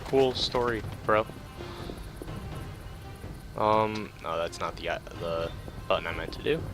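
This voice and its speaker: A man with a lowish voice talks casually through a game playthrough. He is laid-back and rambling, often hesitant, and clear game music plays behind him. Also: slow. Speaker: game player commentating a playthrough. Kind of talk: casual game commentary, partly reading on-screen tips.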